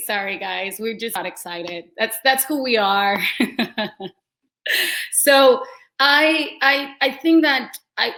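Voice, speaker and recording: A woman's voice: mid-range voice, sharp tone. An effusive woman speaking informally as a panelist in a casual discussion. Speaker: panelist, nonprofit co-founder.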